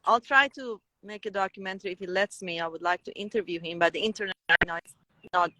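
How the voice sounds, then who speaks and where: A woman's voice: mid-range voice, fast, sharp tone, no room sound, poor audio. A woman with a Persian accent, a native Persian speaker, talking as an interview guest with many restarts and fillers. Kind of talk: conversational interview.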